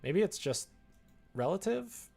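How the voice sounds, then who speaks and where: Slow-paced man thinking aloud on stream. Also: high voice, very animated, gravelly, darkish tone, faint music behind. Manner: giggly, self-talking, playful. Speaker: streamer working on a visual novel. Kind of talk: casual livestream chat, thinking aloud while working.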